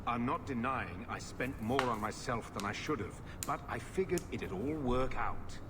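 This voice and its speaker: An actor voicing a bitter, self-justifying older uncle in a video game, grumbling and sardonic. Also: male voice, mid-range voice, animated, moderate pace, big-room sound, noisy background, natural voice. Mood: defensive, evasive.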